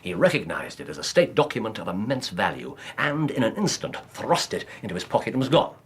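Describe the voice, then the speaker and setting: An incisive Victorian English detective in a radio drama. His voice is low and husky, swinging widely in pitch. He speaks with precise, commanding, theatrical emphasis. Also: sing-song, a little fast. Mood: brisk, explanatory.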